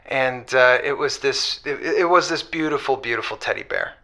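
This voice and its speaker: An actor playing a sheepish, hopelessly romantic husband calling a radio show in a comedy audio drama, rambling haltingly and repeating himself. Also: male voice, mid-range voice, expressive, creaky, sharp tone. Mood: pleading.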